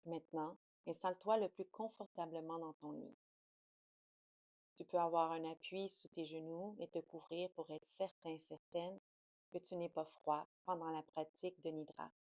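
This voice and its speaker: A woman guiding a yoga nidra relaxation in a soothing, slow, unhurried manner. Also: low-pitched, fairly even intonation, darkish tone, no room sound. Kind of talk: guided relaxation meditation.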